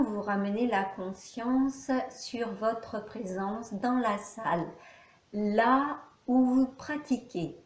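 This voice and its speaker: A woman teaching yoga nidra, guiding a meditation calmly in short, measured phrases with pauses between them. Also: mid-range voice. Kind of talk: guided meditation, calm instruction. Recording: echoey, reverberant room.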